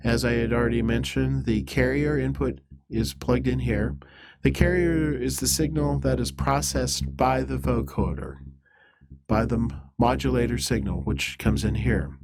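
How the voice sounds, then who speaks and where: A man presenting an instructional software demo in a halting, methodical, step-by-step way. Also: medium pitch, crowd noise.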